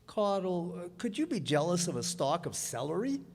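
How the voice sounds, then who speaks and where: An earnest, lovestruck navy commander in a radio play, acted by a man. The voice sits a little high, loyal and slightly bewildered, in a reverberant hall with faint noise behind. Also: big pitch swings, moderate pace. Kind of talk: stage radio drama acting.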